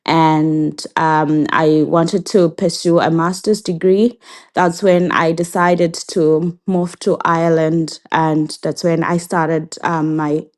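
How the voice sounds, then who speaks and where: A woman in her thirties from Zimbabwe answers interview questions slowly and thoughtfully, often pausing and restarting. Her voice sits fairly low and her pace is measured. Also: flat delivery, a little mellow. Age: 30s. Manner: reflective, many filler pauses and restarts. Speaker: guest.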